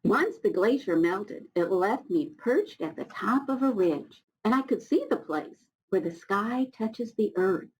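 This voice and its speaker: A woman's voice: mid-range voice, animated, unhurried, hardly any pauses, a little mellow, slightly rough, low-quality recording. An American woman voicing an ancient, good-natured male rock who reminisces in a children's storybook. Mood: reminiscing.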